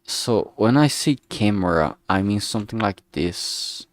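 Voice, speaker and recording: Man, unhurried pace, dry close sound, casual think-aloud streamer, halting, muttering to himself. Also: on the low side, animated, dry recording. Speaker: streamer making a visual novel. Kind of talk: casual think-aloud art livestream commentary.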